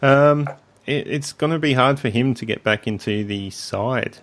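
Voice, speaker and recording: A man with a croaky voice, hosting a casual sports podcast in a relaxed way with frequent ums. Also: mid-range voice, measured pace, slight background noise. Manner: relaxed, leads the segments, many ums. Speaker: podcast host.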